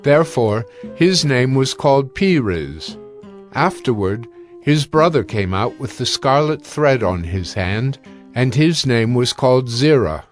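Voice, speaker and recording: Guy narrating bible, solemn n measured, medium pitch, faint music behind. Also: very animated. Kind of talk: scripted scripture narration.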